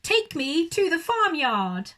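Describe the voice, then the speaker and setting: Lady doing a lazy cheeky little boy owl for a kids story, high n swoopy, yelling all dramatic. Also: very animated, takes it slowly, full character voice. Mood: mock panic. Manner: theatrical, exclaiming. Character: lazy, cheeky young male owl, crying emergencies. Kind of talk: children's storybook character voice.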